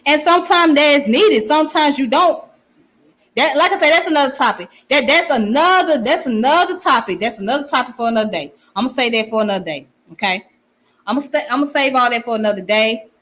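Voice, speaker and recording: A woman's voice: high voice, lively intonation, fast, a bit bright. A woman with a strong Southern accent, hosting a casual livestream where she reads comments and often repeats her phrases. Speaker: channel host.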